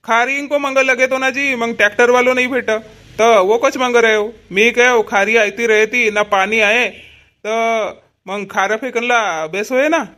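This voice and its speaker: A man's voice: extremely high pitch, fairly even intonation, a little slow, sharp tone, full character voice. A man voicing a modest, worrying village farmer and father in a cartoon comedy, rambling and folksy, with a Powari accent.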